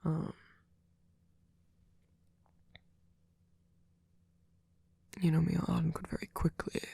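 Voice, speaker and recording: A sweet, doting vampire boyfriend, acted out by a performer, speaks in a very breathy half-whisper. He is gentle and attentive, halting and rambling softly through an ASMR comfort roleplay. The sound is close and dry, with a creaky edge. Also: mid-range voice, no room sound, full character voice. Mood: tender, fussing. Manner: hesitant, halting, rambling, with soft filler murmurs.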